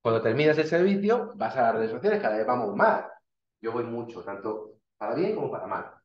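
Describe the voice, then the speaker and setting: A man's voice: mid-range voice, sing-song, fairly quick. Man, university lecture, informal explaining, muffled lo-fi sound. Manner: informal, explains with everyday examples, frequent restarts and "¿vale?". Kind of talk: recorded slide lecture. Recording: dull, roomy lecture recording.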